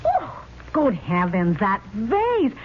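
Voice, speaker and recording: An actress playing a genteel, fluttery middle-aged millionaire's wife in old-time radio drama style. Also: female voice, on the high side, wildly swooping pitch, slow pace, highs cut off, exaggerated voice. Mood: flustered. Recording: thin old radio broadcast, faint noise.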